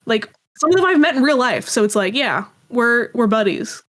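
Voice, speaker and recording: A woman chatting casually as an interview guest, talking in a rambling, animated way. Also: fairly high pitch, normal speed. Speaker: guest, audio drama creator.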